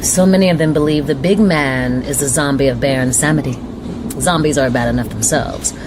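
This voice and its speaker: A woman playing a composed but fearful woman on the run in a radio drama, grave and measured. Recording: noisy radio drama, train rumble behind.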